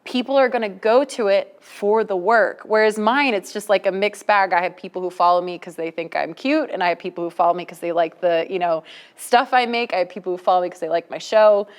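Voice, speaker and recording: Female creator guest, medium pitch, casual and animated, drops in acted asides. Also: a little fast, clean tone. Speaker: guest, comic creator and streamer. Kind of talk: casual chat.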